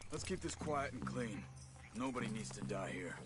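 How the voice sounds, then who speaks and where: An actor playing a calm, careful, terse outlaw man in a western game, with music behind. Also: mid-range voice, close to an everyday voice. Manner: measured, terse. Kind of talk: western video game acting.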